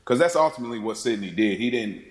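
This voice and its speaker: A man's voice: mid-range voice, expressive, quick pace. A man speaking as a podcast guest in an informal, rambling film discussion, recorded with a roomy echo. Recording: roomy, echoing sound.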